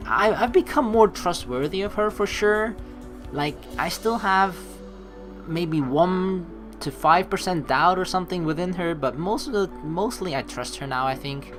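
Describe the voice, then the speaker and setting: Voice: mid-range voice, lively intonation, a little slow, fairly bright tone. A let's play host from the Netherlands, reading a visual novel aloud and adding casual commentary. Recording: game music behind the voice. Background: game music.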